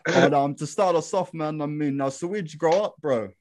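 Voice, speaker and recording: A man hosting a podcast interview, relaxed and slangy, often calling the guest "man" and "bro". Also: fairly high pitch, moderate pace, slight background noise. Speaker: podcast host.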